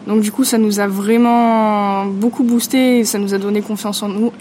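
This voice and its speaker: A woman's voice: mid-range voice, steady tone, normal speed, mellow, a little hiss or hum. A woman musician chatting in an interview, talking freely with frequent fillers. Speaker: musician in a duo. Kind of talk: casual interview answers.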